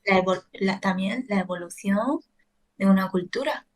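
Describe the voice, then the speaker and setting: A woman's voice: mid-range voice, normal speed. A young woman whose first language is Chinese, co-hosting a casual podcast and explaining ideas with examples. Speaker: podcast co-host, language teacher. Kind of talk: casual conversational chat.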